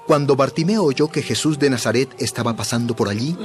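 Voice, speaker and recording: Male Bible story narrator over clear music. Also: mid-range voice, brisk. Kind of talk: dramatized scripted Bible narration.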